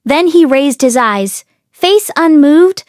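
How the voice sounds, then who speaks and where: A woman narrating a novel aloud as an expressive read-along host. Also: sits high, takes it slowly, no room sound, clean studio sound.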